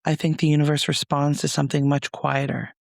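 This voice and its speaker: A man leading a guided meditation as a reflective monologue, speaking in a soothing, measured, gentle way with pauses. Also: fairly high pitch, completely flat delivery, vocal fry, no room sound, clean studio sound.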